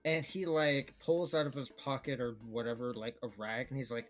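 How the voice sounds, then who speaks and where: A man running a tabletop game as game master over voice chat, chatty and loose, laughing often and slipping into quick character voices. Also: mid-range voice, soft background music. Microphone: home microphone on a voice call.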